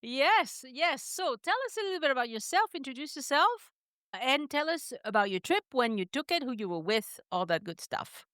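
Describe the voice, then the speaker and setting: A middle-aged woman from France hosting a conversational travel podcast, chatty and lively. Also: high-pitched, normal speed, dry recording, clean studio sound. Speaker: podcast host.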